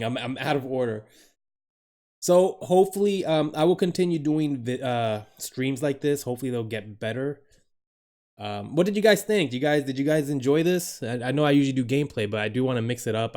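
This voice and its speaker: A man's voice: medium pitch, a little mellow. A man from the Dominican Republic live streaming from home. He rambles to his chat, laughs a lot and swears casually.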